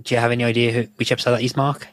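A man's voice: medium pitch, little pitch movement, very fast, dry recording. A British man hosting a podcast, casually discussing a television episode. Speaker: podcast host.

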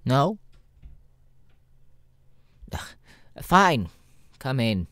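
A man's voice: medium pitch, big pitch swings, very slow, a bit bright, no echo, full character voice. A man voicing a jaded, sassy, foul-mouthed male spider demon with a sardonic, weary drawl in an ASMR audio roleplay. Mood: annoyed, teasing. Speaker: voice actor, ASMR roleplay artist.

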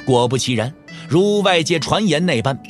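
A man narrating an audio drama from a script in an expressive storytelling manner. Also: on the high side, normal speed, soft background music.

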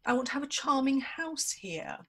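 A woman's voice: high-pitched, animated, moderate pace. A woman acting a witty, flirtatious, scheming society woman in a radio play, arch and languid. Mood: coaxing, amused.

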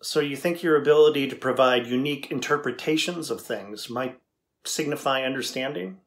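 A man's voice: medium pitch, moderate pace, fairly bright tone, natural voice. A middle-aged man playing a curious, skeptical tech engineer interviewing an AI in a scripted dramatization, matter-of-fact and inquisitive. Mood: probing, skeptical. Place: indoors, plain backdrop.

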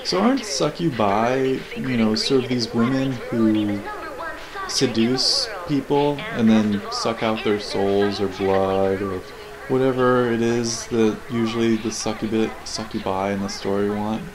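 A man's voice: lowish voice, a little slow, a crowd in the background. A man giving casual, rambling, sardonic off-the-cuff commentary as he reviews video game cutscenes. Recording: voice over loud game audio.

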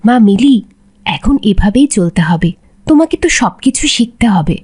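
Cartoon casting: devout, ailing mum, played by a woman, weak and raspy, mellow, resigned. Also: on the high side, very animated, moderate pace. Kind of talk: Islamic cartoon dub acting.

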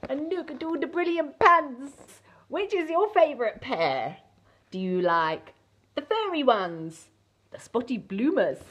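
Very animated high-voiced woman storyteller. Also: breathy edge, muffled recording. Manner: playful, exaggerated, expressive. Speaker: children's entertainer and storyteller. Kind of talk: theatrical children's storybook reading. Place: small indoor set with fabric backdrop.

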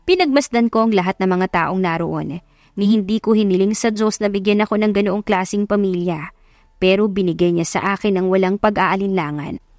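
A woman's voice: medium pitch, fairly quick, a little mellow, no room sound, studio quality. A woman reading a scripted first-person love story as an audiobook narrator.

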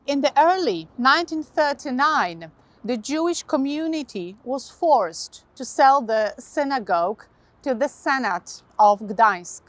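A woman in her thirties with a Polish accent speaks slowly and deliberately, her voice pitched a little high. She presents history to camera outdoors, and the lapel mic gives a close, dry sound. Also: lively intonation, no room sound. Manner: measured, slow, deliberate delivery. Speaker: guide. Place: outdoors by a modern building.

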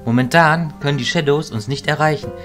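A man playing a measured, analytical illusionist in a fantasy audio drama, with a dry delivery. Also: a little high, a bit bright, faint music behind, close to an everyday voice. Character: level-headed, analytical male illusionist companion.

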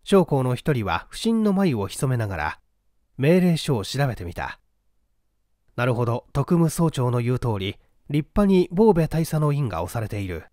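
Male audiobook narrator, dramatic literary mystery reading, expressive pitch movement, dry sound. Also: normal speed, no room sound.

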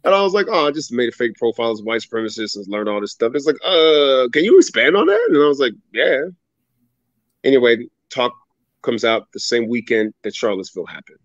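A man in his thirties tells his story on a video call, an animated, dramatic storyteller whose voice jumps high when he mimics others. The sound is dull and dry, like a home webcam mic. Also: a little fast, no echo. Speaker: guest speaker. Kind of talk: storytelling interview answer. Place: home room with ceiling fan, indoors.

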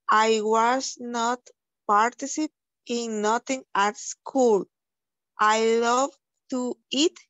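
Very slow, halting woman from El Salvador. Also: a little high, fairly bright tone, no echo. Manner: halting, careful reading with pauses. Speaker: student. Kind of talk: reading a written exercise aloud.

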